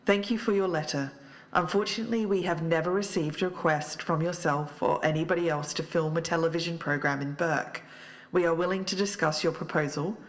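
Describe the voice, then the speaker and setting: A woman's voice: deep voice, steady tone, a little fast. A woman co-hosting an investigative podcast, moving between scripted narration and casual talk. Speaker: podcast co-host.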